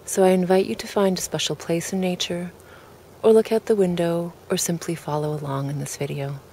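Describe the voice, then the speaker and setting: A woman leading a guided relaxation in a calm, gentle way, with deliberate, pausing phrases. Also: fairly low pitch, slight background noise. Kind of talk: guided meditation, soft spoken invitation.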